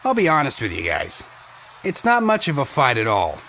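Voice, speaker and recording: A man's voice: medium pitch, huge pitch swings, normal speed, gravelly, pushed and bright, soft background music, low-quality recording. A man narrating a scripted audio drama in a dramatic storytelling manner.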